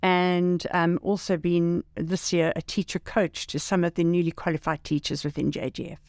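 A woman's voice: lowish voice, little pitch movement, moderate pace, a little mellow, no room sound, studio quality. An older woman, a teacher and mentor speaking graciously as a podcast guest. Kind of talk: casual podcast conversation.

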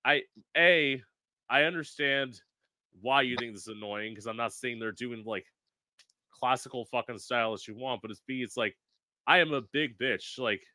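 A man's voice: mid-range voice, moderate pace, pushed and bright, dry recording. A man hosting an interview, talking frankly and brassily and swearing freely in casual chat. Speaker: interview host.

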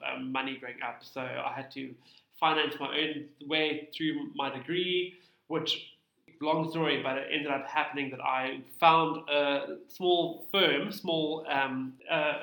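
A man with a South African accent, an interview guest telling his story casually in an informal, halting way, in a roomy recording. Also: medium pitch, fairly even intonation, gravelly, pushed and bright. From Cape Town, South Africa. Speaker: interview guest, tax practitioner. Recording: roomy, echoey sound.

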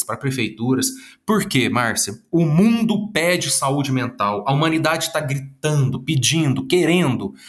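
A man from Minas Gerais, Brazil, with the accent of the region, answering interview questions in an earnest, lecture-like way and reeling off long lists of words. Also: mid-range voice. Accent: Minas Gerais Brazilian Portuguese. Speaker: guest, psychologist and speaker.

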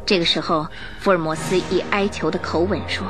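A woman giving dramatic scripted narration for a radio drama, telling the story in a suspenseful, expressive way. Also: lowish voice, a little fast, over loud music.

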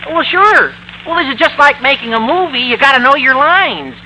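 Piercingly bright, eager teenage boy. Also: very animated, moderate pace, heavily acted, rough recording. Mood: brisk, eager. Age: teenage character. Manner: brash, eager, squeaky adolescent delivery. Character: eager, bossy high-school boy, excitable schemer. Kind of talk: old-time radio comedy acting. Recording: vintage radio recording with faint noise.

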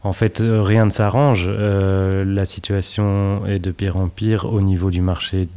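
Very deep, mellow-voiced man. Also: little pitch movement, a little hiss or hum. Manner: measured, explanatory, full of fillers. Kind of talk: casual conversation.

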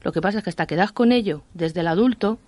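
A woman's voice: lowish voice, fast talker, darkish tone. A woman from Madrid with a Madrid accent, a guest author chatting in a radio interview and acting out the voices she quotes. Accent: Madrid Spanish. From Madrid, Spain.

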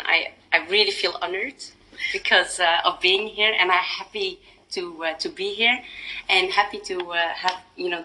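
A woman's voice: medium pitch, sharp tone, rough recording. A woman from Belgium, a podcast guest, thoughtfully searching for words with restarts and fillers. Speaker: interview guest. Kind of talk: reflective interview conversation.

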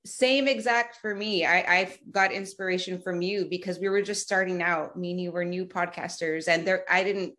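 A woman's voice: fairly low pitch, a bit bright. A woman in her thirties from South Texas, a podcast guest talking in a chatty way with many fillers and restarts. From Rio Grande Valley, South Texas. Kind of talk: casual remote interview.

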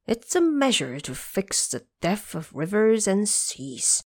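A woman's voice: mid-range voice, sing-song, takes it slowly, exaggerated voice. A woman voicing an old male Dragon King, nervous and deferential, in an audio drama. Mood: doubtful.

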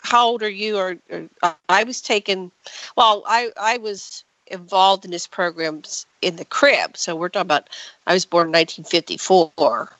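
A woman in her sixties hosting a radio interview, chatty and quick to jump in. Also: fairly low pitch, a bit bright, no echo, rough recording. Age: 60s. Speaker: radio host.

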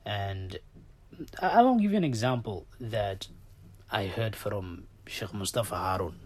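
A man whose first language is Somali, hosting a solo podcast and giving his opinions, swinging from loud outbursts to low muttered asides. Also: expressive, a little slow. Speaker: podcast host.

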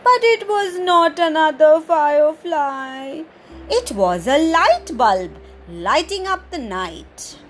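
High, lively woman reading stories to kids. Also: a little hiss or hum. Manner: slow, animated, sing-song storytelling with sound effects. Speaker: children's storyteller podcast host. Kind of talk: reading a picture book aloud to children.

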